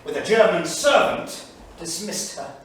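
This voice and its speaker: An actor playing a bitter, erudite English diarist of the 1930s, declaiming a journal in a sardonic, literary manner. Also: male voice, high voice, animated, measured pace. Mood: scornful irony. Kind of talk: staged dramatic reading of a journal. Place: theatre studio stage, far microphone. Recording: distant echoey theatre studio, faint noise.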